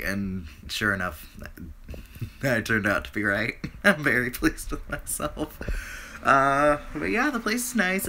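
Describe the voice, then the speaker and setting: A man with a bright, raspy voice, just getting over the flu, rambling through a casual update to his viewers. He keeps restarting his sentences and dropping in fillers. A faint hiss of noise sits behind him. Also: mid-range voice. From Canada. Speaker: vlogger.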